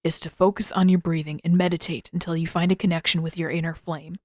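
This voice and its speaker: Reserved teenage prince done by a woman, lowish pitch, measured, wry, understated, very dry recording, audiobook drama. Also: little pitch movement, no room sound, close to an everyday voice. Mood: dry, grudging.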